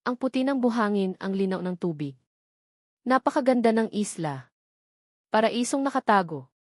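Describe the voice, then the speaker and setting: A woman reads a dramatic audiobook story with expressive delivery. Her mid-pitched voice sits in a very dry recording with little room sound. The narration is scripted and clearly shaped as storytelling. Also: normal speed, dry recording.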